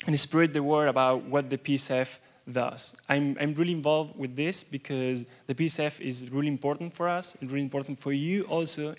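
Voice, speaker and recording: A young man from Argentina, a native Spanish speaker with an Argentine accent, giving a nervous, halting conference keynote in a large hall. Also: medium pitch. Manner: nervous, halting, many restarts.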